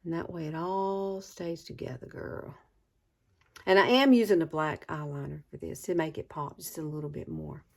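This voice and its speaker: A woman's voice: low-pitched, normal speed, creaky, darkish tone. A woman, chatting casually through a makeup tutorial and calling viewers girl and y'all. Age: 60s. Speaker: beauty vlogger.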